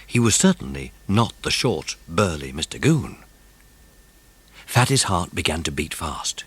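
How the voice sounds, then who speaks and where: Deep, expressive male storyteller. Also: unhurried, husky. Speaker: audiobook narrator. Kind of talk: dramatic storybook narration of a children's mystery.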